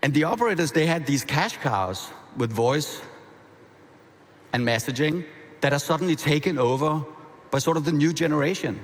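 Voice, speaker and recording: A man with a Danish accent giving a conversational, energetic conference keynote, often restarting his phrases. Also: a little high, normal speed. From half Danish. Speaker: keynote speaker. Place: large conference hall. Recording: reverberant hall.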